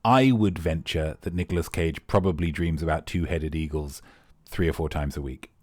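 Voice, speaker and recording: A man's voice: a bass voice, normal speed, a little mellow, no echo, clean studio sound. A man hosting a live comedy quiz, rambling and playful with teasing asides to the players. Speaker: quiz host.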